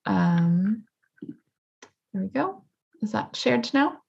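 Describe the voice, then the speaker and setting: A woman, a native English speaker, explaining her survey research as a guest on a video call in a thoughtful, steady way. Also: medium pitch, takes it slowly, vocal fry, breathy edge, highs cut off.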